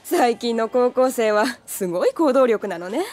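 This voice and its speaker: A woman playing a brisk, amused adult editor in an anime audio drama, talking in a wry, candid way. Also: on the high side, expressive, darkish tone. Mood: amused, surprised.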